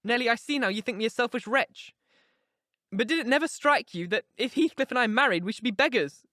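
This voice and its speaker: A reader voicing a headstrong young woman, pitched well above the narration and pushing hard on her words. She is impetuous and declamatory, caught up in passion. The recording is dry and close, with no room around it. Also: lively intonation, fairly quick, bright, forceful tone, dry recording. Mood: vehement. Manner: intense, emphatic, declamatory. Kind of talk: audiobook character reading.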